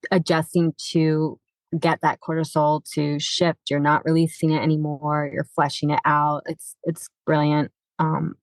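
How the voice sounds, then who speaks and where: A woman hosting a casual podcast conversation, speaking haltingly and searching for her words. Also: deep voice, little pitch movement, dry recording. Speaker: podcast host.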